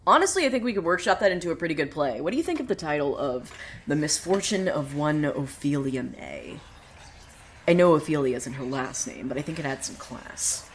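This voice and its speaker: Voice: mid-range voice, a little fast, slight background noise, close to an everyday voice. A woman playing a wry, theatrical narrator of a surreal small town in a scripted audio drama, telling the tale dramatically. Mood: wry, musing. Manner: theatrical, swinging from hushed to excited. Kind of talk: dramatic scripted storytelling monologue.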